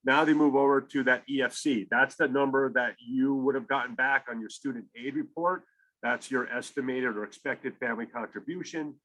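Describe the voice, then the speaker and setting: A man's voice: medium pitch, steady tone, moderate pace, bright, forceful tone, low-quality recording. A man speaking as a school college counselor, explaining step by step in a conversational way during an informal presentation to parents.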